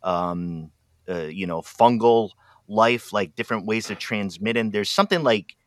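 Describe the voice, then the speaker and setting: A man's voice: fairly low pitch, a little slow, no echo, studio quality. A man interviewing an author, asking questions hesitantly and fumbling for words. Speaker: interviewer, host. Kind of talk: casual interview conversation.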